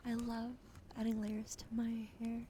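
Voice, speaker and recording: A woman streamer doing an ASMR hair-brushing roleplay, whispering softly and chatting gently with her viewers. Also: fairly high pitch, completely flat delivery, a little slow, slight background noise.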